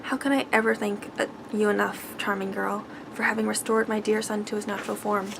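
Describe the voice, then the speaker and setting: Gracious, breathy storybook queen, read by a woman. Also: mid-range voice, flat delivery, a little fast, background noise, lightly acted. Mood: grateful. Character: grand, grateful storybook queen.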